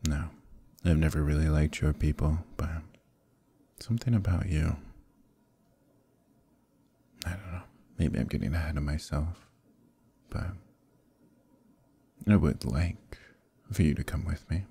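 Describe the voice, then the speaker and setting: A man playing an evil conquering knight in an ASMR roleplay, speaking in a gravelly, husky, measured way, calm and menacing yet quietly coaxing. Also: a bass voice, full character voice. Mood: soft coaxing. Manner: measured, deliberate, quietly persuasive, pausing often. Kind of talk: ASMR roleplay, slow intimate dramatic monologue.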